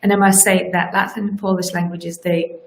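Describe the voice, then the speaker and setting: A woman in her forties whose first language is Russian, speaking as a life coach in a lively live-streamed motivational talk and often checking in with "right?". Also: lowish voice, a little fast. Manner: lively, animated, keeps asking "right?". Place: small indoor room with curtains. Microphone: webcam at desk distance. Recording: roomy, echoey webcam audio.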